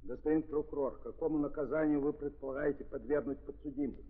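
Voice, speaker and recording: An actor playing a presiding judge who reads out formally and declaims in an official manner, in a 1930s audio drama. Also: male voice, on the high side, fairly even intonation, quick pace, husky. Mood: solemn, official. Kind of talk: 1930s Russian radio drama acting. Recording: tinny 1930s recording in reverberant hall.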